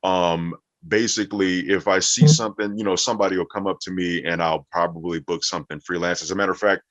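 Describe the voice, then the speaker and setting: A man's voice: sits low, steady tone, fast, fairly bright tone. A man giving conversational, instructive explanations in an interview as a voice actor, stressing his points with repetition. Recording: echoey room, dull muffled audio.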